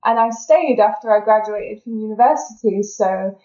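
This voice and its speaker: A woman's voice: medium pitch, normal speed, warm tone. Chatty young woman on a dull, echoey line. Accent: neutral English. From England. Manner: chatty, laughs often, many fillers like kind of. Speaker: dental therapist and food blogger, guest. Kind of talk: casual interview chat.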